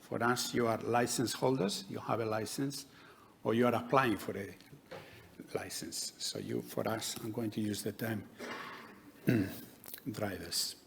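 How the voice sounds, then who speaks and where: A man with a Spanish accent giving a measured, explanatory conference talk as a doctor. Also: fairly low pitch, steady tone, creaky, slight background noise. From Spain. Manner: measured, explanatory, with light humour. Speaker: conference speaker, DVLA doctor. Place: conference hall.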